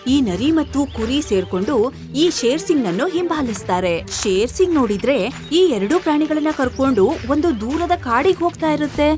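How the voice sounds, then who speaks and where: A woman narrating an animal story in an animated storytelling style. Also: high voice, moderate pace, soft background music.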